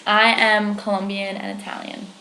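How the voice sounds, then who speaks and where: Young woman with a gravelly, hesitant voice. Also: mid-range voice, level tone, moderate pace, over a noisy crowd. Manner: hesitant, many "like" fillers. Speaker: interviewee. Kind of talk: casual interview answers. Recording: echoey room.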